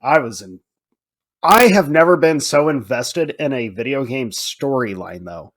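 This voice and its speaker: A middle-aged man hosting a podcast, chatting casually and emphatically about films and games, with animated delivery and free swearing. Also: medium pitch, unhurried. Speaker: podcast host. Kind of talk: casual film and game chat.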